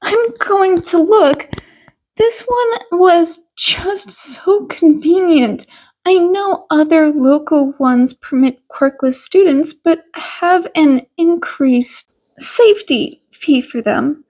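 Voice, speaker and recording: A woman voicing an anxious, gentle mother of a young boy in an audiobook story. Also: very high-pitched, slow pace, pitched above the performer's own voice. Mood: wistful. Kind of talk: audiobook character voice.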